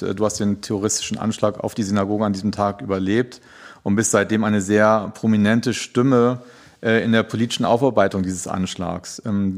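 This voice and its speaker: Low-voiced man hosting a podcast. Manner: hesitant, many fillers while introducing guests. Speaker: podcast host. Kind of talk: podcast interview.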